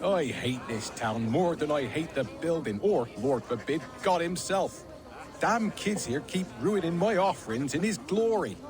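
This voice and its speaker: A man's voice: fairly high pitch, animated, measured pace. A man playing a drunken, bitter and boisterous glassmaker in a video game, rambling and theatrical. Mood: bitter grumbling. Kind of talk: video game character dialogue. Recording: music behind the voice.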